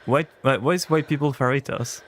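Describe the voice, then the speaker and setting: A man's voice: mid-range voice, brisk, fairly bright tone. A young man with a French accent talks in a loose, rambling way, replying to chat messages as he plays a game on stream. He sits at a desk microphone at home, with a faint noise under his voice. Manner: chatty, rambling, answers chat. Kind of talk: casual livestream chat while gaming.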